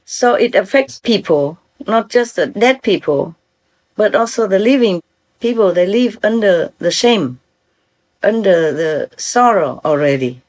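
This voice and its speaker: A woman's voice: on the low side, a little mellow, poor audio. An older woman from Vietnam with a Vietnamese accent, giving an earnest, informal talk as a spiritual teacher and often checking that her listeners follow.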